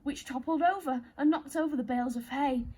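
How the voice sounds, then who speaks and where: High-voiced woman reading a children's story. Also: a little fast, dark tone, slight background noise. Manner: playful, theatrical, addresses young listeners directly.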